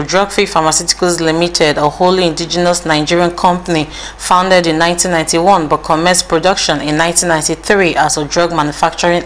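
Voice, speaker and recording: Low-pitched woman reading a corporate voice-over. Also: slight background noise. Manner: smooth, promotional reading. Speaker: narrator.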